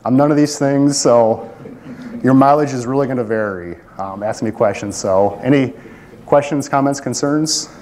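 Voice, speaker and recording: A man's voice: medium pitch, normal speed, a little mellow, slight background noise. A man presenting a technical project at a conference and taking questions, talking casually with many fillers and self-deprecating asides. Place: conference room.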